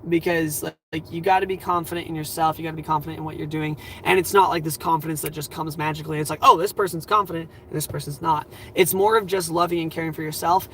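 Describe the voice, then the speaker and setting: A man in his twenties hosting a livestream, giving earnest advice in a rambling, halting way full of fillers, his voice a little scratchy and tired. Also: a little high, a bit bright. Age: 20s. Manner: earnest, reassuring. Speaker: livestream host.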